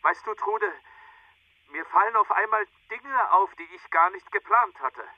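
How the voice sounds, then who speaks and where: A man's voice: high voice, a little slow, no room sound, distant mic, muffled recording. An actor playing an intense film director absorbed in his work. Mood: musing. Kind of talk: German radio drama acting.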